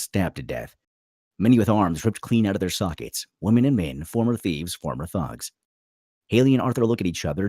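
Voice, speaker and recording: A man's voice: lowish voice, a little mellow, husky, no echo, studio quality. A man narrating the stage directions of a script dramatically, in clipped phrases.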